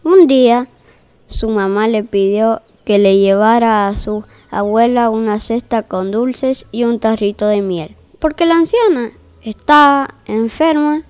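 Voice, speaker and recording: A woman's voice: on the high side, measured pace, darkish tone, clear, resonant tone, close to an everyday voice. A woman playing a mild, easily flustered fairy-tale narrator in a comic audio story, hesitant and accommodating. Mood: storytelling.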